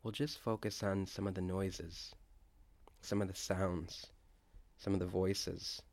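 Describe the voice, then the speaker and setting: A man leading a guided prayer meditation, speaking in a slow, hushed way as he guides listeners to imagine the scene. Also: low-pitched, flat delivery, vocal fry, no echo.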